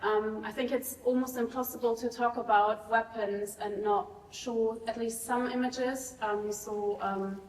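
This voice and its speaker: A woman hosting a university lecture who introduces the speaker haltingly, with many ums and uhs. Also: a little high, steady tone. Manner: hesitant, filler-heavy. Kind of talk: formal introduction with many fillers. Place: lecture hall. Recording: echoey hall, mic from far off.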